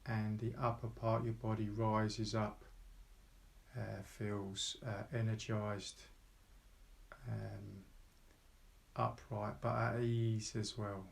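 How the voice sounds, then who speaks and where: Low, even-toned man guiding meditation. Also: creaky. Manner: calm, unhurried, pausing between short phrases. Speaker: meditation guide. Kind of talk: guided meditation, slow soft instruction.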